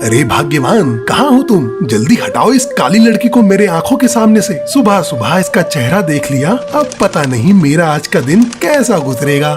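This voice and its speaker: Low, swooping, grumbling father bird voiced by a woman. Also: big pitch swings, moderate pace, hardly any pauses. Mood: irritated. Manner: grumbling, impatient. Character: irritable father bird disgusted by his daughter. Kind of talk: dubbed cartoon story acting. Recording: music behind the voice.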